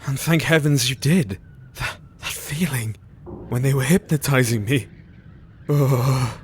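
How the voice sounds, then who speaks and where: A man playing an earnest, shaken Victorian gentleman who hunts vampires in a horror audio drama. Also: mid-range voice, slow, a little hiss or hum, natural voice.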